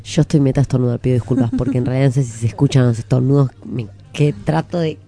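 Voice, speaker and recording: A woman's voice: rumbling low, quick pace, mellow. A woman with an Argentine accent co-hosting a casual radio chat. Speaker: radio show co-host.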